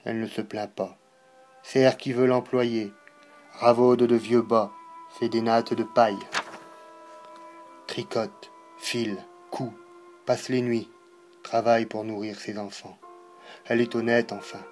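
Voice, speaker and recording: A man recites verse in a grave, declamatory way over faint music. His delivery is slow and deliberate, broken by pauses, and his pitch stays within a fairly narrow range. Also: flat delivery, a little mellow. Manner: solemn, declamatory, measured phrasing with pauses. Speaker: poetry reciter. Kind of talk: dramatic poetry recitation.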